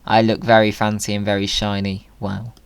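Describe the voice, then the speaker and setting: A man narrates his gameplay with silly, whimsical humour. His voice is smooth and clean, recorded close and dry. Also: on the low side, completely flat delivery, moderate pace, no echo. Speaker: video creator, gamer. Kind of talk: playful gaming commentary.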